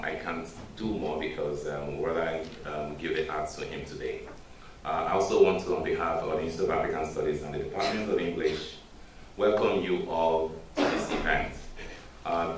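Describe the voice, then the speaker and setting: Very deep-voiced Nigerian man in a hall. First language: Igbo. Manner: measured, formal, with frequent hesitations. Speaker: faculty speaker introducing a guest. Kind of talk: formal introduction speech. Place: university lecture hall. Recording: echoey hall, distant mic, faint noise.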